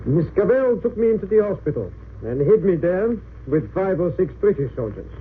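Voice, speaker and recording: A thin, noisy old radio recording of a German sergeant turned spy. His high voice reports in a crisp, military manner. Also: very animated, normal speed, phone-quality sound. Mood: assured, accusing. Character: brisk German sergeant and smooth-lying spy witness. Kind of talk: 1930s radio drama acting.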